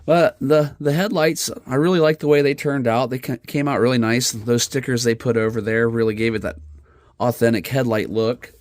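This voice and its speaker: Male hobby vlogger, congested and slightly nasal, relaxed chatty delivery, dry phone audio. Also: medium pitch, normal speed, no room sound. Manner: relaxed, chatty, with fillers and restarts. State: congested with a head cold. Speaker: RC hobby channel host. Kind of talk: casual how-to build commentary. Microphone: phone camera.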